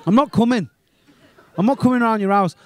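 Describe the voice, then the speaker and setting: Man in his thirties, high-voiced stand-up, crude and incredulous, live in a small comedy club on a handheld mic. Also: fairly quick. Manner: animated, crude, ranting and incredulous.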